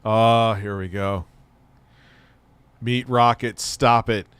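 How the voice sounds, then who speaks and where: A man's voice: on the low side, steady tone, takes it slowly, fairly bright tone, slight background noise. Profane mocking man hosting a stream. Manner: profane, mocking, laughs often. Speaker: livestream host reacting to videos. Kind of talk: crude casual commentary over a video.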